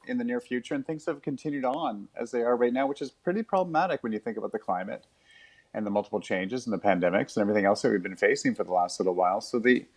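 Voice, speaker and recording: A man being interviewed as a theatre director, explaining in a measured, reflective way. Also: mid-range voice.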